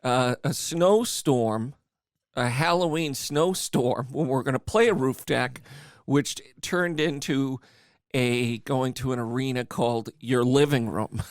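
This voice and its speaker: A man hosting an interview, chatting casually and dropping quick jokes. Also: mid-range voice, dry recording, clean studio sound. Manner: joking, throws in quick quips. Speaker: host.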